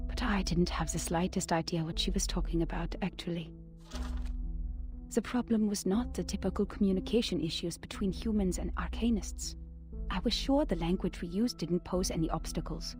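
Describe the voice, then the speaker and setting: A woman voicing a reflective female narrator reading out her own written account, measured and wistful. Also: on the low side, a little mellow, close to an everyday voice. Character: reflective woman recalling a strange acquaintance. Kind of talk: game narration of a written record.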